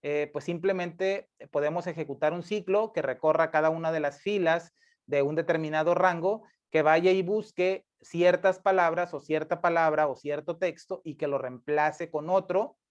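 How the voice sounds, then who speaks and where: A man's voice: fairly high pitch, fairly even intonation, normal speed. Man teaching on a dull lo-fi mic. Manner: patient, repeats points, many tag questions and self-corrections. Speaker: instructor. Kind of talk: screencast coding lesson.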